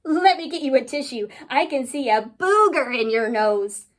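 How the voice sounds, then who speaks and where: Giggly ghoul schoolgirl comedian, read by a woman: high, lively swoops, playful punchlines, storybook style. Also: moderate pace. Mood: teasing. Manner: punchy, playful joke delivery. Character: joke-cracking, giggly young girl ghoul, cheeky schoolkid comedian.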